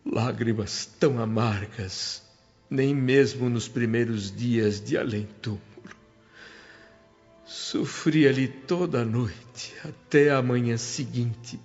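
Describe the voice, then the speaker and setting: A man's voice: medium pitch, darkish tone. An actor with a Brazilian accent playing an anguished spirit of a dead father, narrating emotively in a radio drama. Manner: sorrowful, emotive. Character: anguished dead father's spirit recounting his return home. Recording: muffled audio with music underneath.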